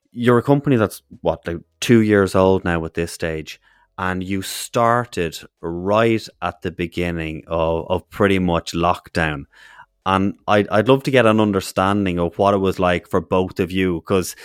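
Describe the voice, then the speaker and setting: A low-voiced man hosts a podcast, putting long, rambling questions to his guests. The sound is dry and close to the mic. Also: no echo. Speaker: podcast host. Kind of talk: interview host and ad read.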